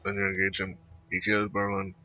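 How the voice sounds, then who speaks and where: A man doing casual video game commentary and reading dialogue aloud, halting and muttering to himself. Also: sits low, completely flat delivery, bright, forceful tone, soft background music. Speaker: gamer recording a playthrough.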